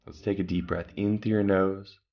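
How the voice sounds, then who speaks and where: A man calmly guiding a meditation, giving slow breathing instructions with long pauses. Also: sits low, gravelly, lo-fi sound. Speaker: meditation guide. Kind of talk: guided meditation.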